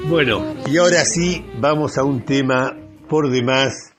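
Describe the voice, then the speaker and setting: An Argentine man hosting a radio talk show, giving opinionated news commentary in a deliberate, emphatic way with pauses between phrases and a husky voice. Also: medium pitch, loud music behind the voice. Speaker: radio host.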